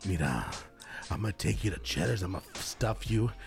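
A man's voice: low voice, lively intonation, brisk, creaky, faint music behind. A man co-hosting a comedic chat, teasing and laughing often, his voice a little worn. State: losing his voice. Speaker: co-host.

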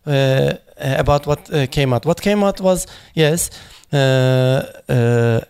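A man speaking at a memorial panel, halting and searching for words, with many fillers and restarts in English that is not his first language. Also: mid-range voice, gravelly, a little mellow, clean tone. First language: not English; foreign accent in Turkish noted.

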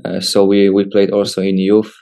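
A Polish man in his twenties with a Polish accent, giving casual interview answers as a footballer in hesitant, halting English. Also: sits low, monotone, dark tone. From Poland. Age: 20s. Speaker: professional footballer, interview guest.

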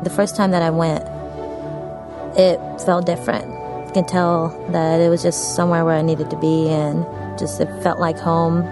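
A woman from Afghanistan giving a reflective personal testimony about coming to faith, with a soft, hesitant way of speaking. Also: sits low, little pitch movement, normal speed. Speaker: church member giving testimony. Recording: music playing behind the voice.

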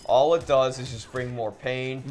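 A man co-hosting a podcast, debating casually and thinking aloud in a halting way, often repeating words. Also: mid-range voice, fairly even intonation, darkish tone. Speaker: podcast co-host. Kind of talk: casual debate while gaming. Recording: clearly noisy room ambience.

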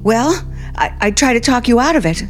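A woman's voice: on the high side, expressive, a little fast, lightly acted. An actress playing a frightened young woman at gunpoint, hesitant and pleading, in 1950s radio suspense style. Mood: fearful. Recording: 1950s radio broadcast, music behind.

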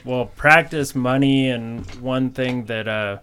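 A man in his twenties hosts a loose, friendly conversation. His voice is smooth and resonant at a middle pitch, and he talks in an easygoing way, dropping "you know" between his thoughts. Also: fairly even intonation, takes it slowly, a bit bright. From Colorado, USA. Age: 20s. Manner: laid-back, conversational, peppered with "you know". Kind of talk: casual conversation.

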